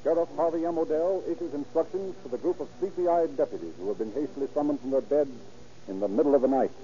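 A man's voice: a little high, fast, warm tone, soft background music, close to an everyday voice. A man acting as the urgent narrator of a scripted 1930s radio crime drama. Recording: muffled old radio recording.

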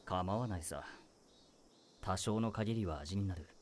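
A man's voice: deep voice, a little slow, slight background noise. A man playing a wry, world-weary teacher. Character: weary, wry male high-school teacher. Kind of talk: voice drama acting.

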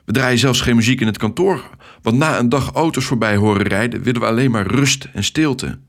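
A man voicing a cheerful, energetic, talkative male traffic controller in a children's story, with a hearty, encouraging manner. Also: mid-range voice, a little fast, natural voice. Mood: reassuring.

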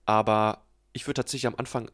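A man's voice: on the low side, flat delivery, fast, fairly bright tone. A man chatting casually and with strong opinions about a film on a podcast. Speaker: podcast co-host. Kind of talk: casual film review chat.

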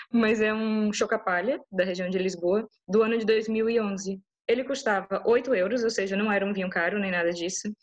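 Enthusiastic Brazilian woman from Bahia. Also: medium pitch. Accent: Brazilian Portuguese. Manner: enthusiastic, chatty, many restarts. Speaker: interview guest. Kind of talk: casual interview answers.

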